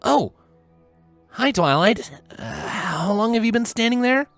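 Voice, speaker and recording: A man's voice: high voice, animated, unhurried, gravelly, fairly bright tone, faint music behind, voice pushed up, exaggerated voice. A man voicing a cheeky, boastful young male dragon who is easily embarrassed, in a story reading. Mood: surprised.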